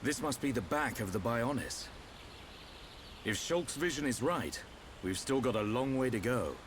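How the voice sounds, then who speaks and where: Man as seasoned soldier, steady leader, lively pitch, game cutscene w/ ambience. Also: a little slow, slight background noise, close to an everyday voice. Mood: matter-of-fact. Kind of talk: video game voice acting.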